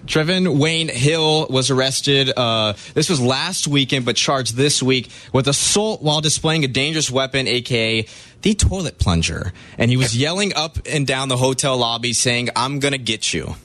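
A man's voice: medium pitch, normal speed, fairly bright tone. A man co-hosting a sports radio show, reading out news stories and stumbling over words now and then.

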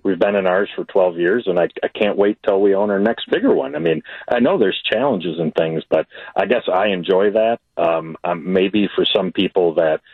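Over a narrow, tinny phone line, an American man speaks as a radio caller. His voice is deep and husky. He chats casually, hesitating and repeating himself as he goes. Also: normal speed, dry recording. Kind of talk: casual chat. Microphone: telephone.

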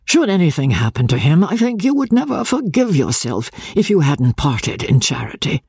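A man's voice: fairly high pitch, wildly swooping pitch, normal speed, gravelly, a little mellow, breathy edge, no room sound, studio quality, voice pushed up, heavily acted. A man doing an earnest young army captain. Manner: grave, respectful, formal.

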